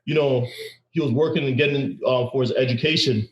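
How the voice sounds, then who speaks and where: A man's voice: medium pitch, a little fast, lo-fi sound. A man hosting a podcast who tells stories energetically and often repeats words. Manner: energetic storytelling with laughs and repeats. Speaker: podcast host. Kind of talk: casual interview chat. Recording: roomy echo.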